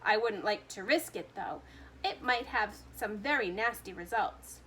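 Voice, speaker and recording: A woman voicing an eccentric, excitable male chocolatier with theatrical exclamations in a storybook reading. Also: medium pitch, unhurried, a little hiss or hum. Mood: indignant.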